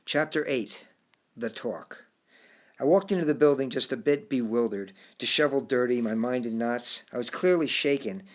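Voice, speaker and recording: Man reading a reflective first-person story. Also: mid-range voice. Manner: reflective, with occasional halting restarts. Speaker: narrator. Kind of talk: first-person audiobook narration.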